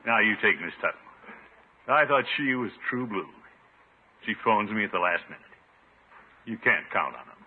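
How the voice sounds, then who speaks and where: Actor as a blustery, pompous radio-comedy bachelor. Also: male voice, fairly low pitch, animated, normal speed, pauses often, close to an everyday voice. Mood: grumbling. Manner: blustery, theatrical, exclamatory. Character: pompous, needy middle-aged bachelor putting up a front. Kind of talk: 1950s radio comedy acting. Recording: noisy, muffled 1950s radio recording.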